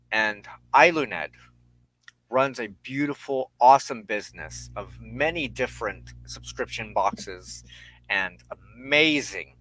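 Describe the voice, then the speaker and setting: A man hosting a spiritual show, speaking reflectively and earnestly in measured, halting short phrases with deliberate pauses. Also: medium pitch, fairly bright tone, poor audio. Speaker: host and minister of a spiritual show. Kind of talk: reflective spiritual talk to an online audience.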